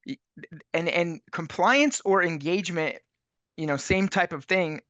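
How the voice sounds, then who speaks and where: A man's voice: high voice, measured pace, sharp tone, no room sound. A man who owns chiropractic clinics, giving persuasive business advice and stacking repeated phrases for emphasis.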